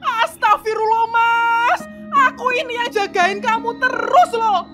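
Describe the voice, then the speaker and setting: A woman's voice: extremely high pitch, unhurried, over music. A woman voicing an anxious, long-suffering young wife in a cartoon drama, speaking in a pleading, complaining way. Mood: hurt. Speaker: Hesti. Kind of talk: cartoon voice acting.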